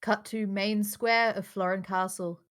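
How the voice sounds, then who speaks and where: A woman narrates a screenplay, reading scene and camera directions aloud in a live group read. Her voice sits at a middle pitch. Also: steady tone, measured pace.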